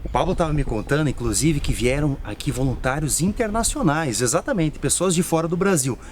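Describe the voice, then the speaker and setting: A man's voice: fairly high pitch, expressive, fairly quick, a little mellow, slight background noise. A Brazilian man reporting on location, interviewing staff and speaking directly to viewers in a promotional tone. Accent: Brazilian Portuguese. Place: outdoors and in a lab at a rural nursery.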